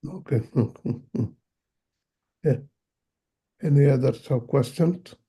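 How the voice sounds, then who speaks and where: A man answering in short, halting phrases during a conference Q&A. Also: mid-range voice, mellow, breathy edge. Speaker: previous session speaker. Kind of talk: casual Q&A exchange. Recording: muffled line with faint noise.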